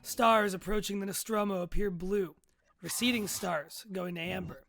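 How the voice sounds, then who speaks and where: A man with a notably high voice and a lot of fry narrates a science fiction screenplay. He reads scene headings and descriptive action at a table read. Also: fairly even intonation, moderate pace, fairly bright tone. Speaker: narrator reading stage directions.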